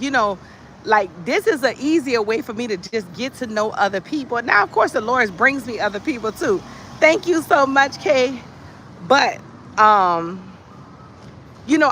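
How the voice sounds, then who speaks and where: A bright, punchy American voice hosts a casual livestream over light urban noise. The delivery is animated and sassy, leaning hard on key words and dropping in conversational asides. It sits at a middle pitch and feels like comic storytelling among friends. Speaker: livestream host telling stories.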